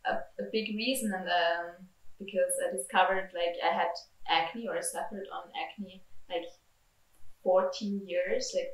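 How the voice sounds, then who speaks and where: A woman's voice: medium pitch, fairly bright tone. A woman, speaking hesitantly with many fillers as she searches for words in a candid interview. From Austria. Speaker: retreat participant. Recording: roomy, distant mic.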